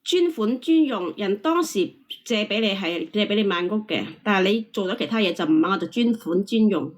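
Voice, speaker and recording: A woman's voice: medium pitch, expressive, brisk. A woman debating on a call-in show, insistent and argumentative, often repeating her points. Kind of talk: heated casual debate.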